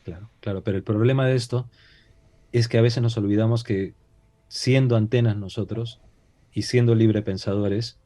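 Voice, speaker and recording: A man's voice: on the low side, fairly even intonation, moderate pace, dark tone. A man from Peru with a Peruvian Spanish accent, a talk-show guest speaking in a reflective way with many hesitations and rhetorical questions. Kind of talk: casual talk-show discussion.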